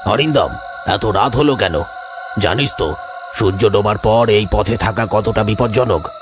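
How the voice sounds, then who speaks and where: A man's voice: on the low side, moderate pace, lightly acted. A man voicing a grave, cautious older village elder in a horror cartoon. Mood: grave warning. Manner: stern, concerned, advising. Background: music, insect sounds.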